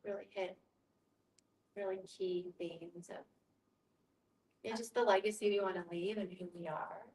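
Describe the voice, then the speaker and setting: A woman with a medium-pitched voice is heard some way from the mic in a very echoey room. She facilitates a discussion in a casual, reflective manner, checking in with listeners as she explains. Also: steady tone, normal speed, a little mellow, recorded from a distance, slight background noise. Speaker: guest facilitator, hospice social worker.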